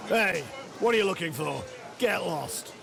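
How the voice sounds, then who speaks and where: A man playing a brusque, rowdy tavern drinker in a video game, with a brash, gruff manner. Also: high-pitched, expressive, measured pace, exaggerated voice. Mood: hostile. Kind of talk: video game character acting. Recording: loud music and busy crowd behind.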